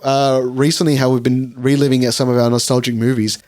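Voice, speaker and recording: Young aussie dude cohosting a chill podcast, rambly, keeps laughing, bit croaky from a cold. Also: male voice, medium pitch, flat delivery, a little fast, a little mellow. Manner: rambling, laughs a lot, says "dude" often. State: voice a bit deep from a recent cold. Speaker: podcast co-host. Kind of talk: casual chat.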